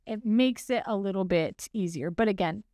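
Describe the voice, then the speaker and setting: A woman's voice: mid-range voice, normal speed, warm tone, dry recording, clean studio sound. A woman in her forties co-hosting a podcast, talking in an earnest, reflective way and laughing easily. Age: 40s. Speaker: podcast co-host. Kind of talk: casual conversation.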